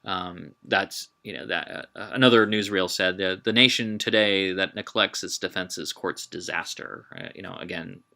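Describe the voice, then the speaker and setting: A man giving an informal history lecture in a halting way, with emphatic, dramatic delivery when quoting. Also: sits low, fairly even intonation, creaky, pushed and bright. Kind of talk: informal illustrated public lecture. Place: talk given to a live group.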